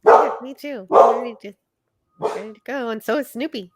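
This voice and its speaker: A woman co-hosts a livestream, both chatting and reading dramatically. Her voice sits a little higher than most women's. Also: normal speed, very noisy. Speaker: co-host and reader.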